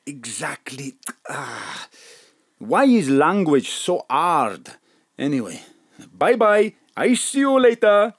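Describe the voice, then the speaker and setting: An actor playing a cheerful, flirty foreign café cook in an audio drama, eager and excitable in broken English. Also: male voice, on the high side, slow pace, full character voice.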